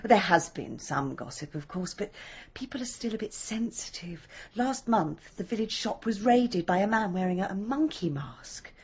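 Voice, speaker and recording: A woman's voice: medium pitch, animated, normal speed, hardly any pauses, lo-fi sound, a little hiss or hum, lightly acted. A woman playing a kindly, genteel English vicar's wife in a radio drama, polite, tactful and soothing. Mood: tactful, gossipy. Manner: polite, soothing, gently chuckling.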